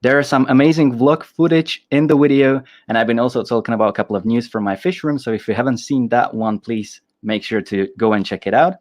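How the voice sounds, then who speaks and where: A man's voice: mid-range voice, fairly even intonation, fairly quick, few pauses, a little mellow. Eager man hosting a livestream. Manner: enthusiastic, frequent restarts. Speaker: host. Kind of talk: casual livestream talk.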